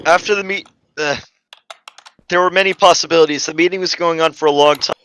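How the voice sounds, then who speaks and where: A man with a bright, forceful and fairly high voice reads fan fiction aloud in an amateur, dramatic style. He stumbles over the wording and slips into casual, exasperated remarks. Faint noise sits behind him. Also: steady tone, fairly quick. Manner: stumbling over the text, exasperated swearing asides. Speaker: reader of a fan fiction story.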